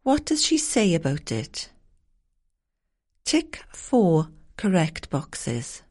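A woman reading formal instructions for a listening exam in a measured way. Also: on the low side, wildly swooping pitch, a little mellow. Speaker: exam narrator.

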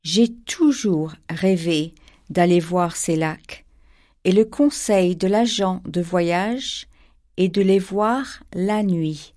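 A woman reading a first-person exam passage slowly and with clear articulation. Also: fairly low pitch, a little mellow. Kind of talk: scripted first-person narration.

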